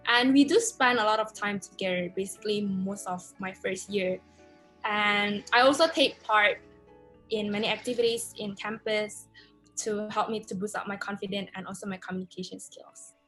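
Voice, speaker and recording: A woman's voice: medium pitch, moderate pace, fairly bright tone, faint music behind, poor audio. Earnest young woman, mid voice. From Indonesia. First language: Hokkien. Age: young adult. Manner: earnest, hesitant with frequent uhs. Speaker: podcast guest. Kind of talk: casual chat.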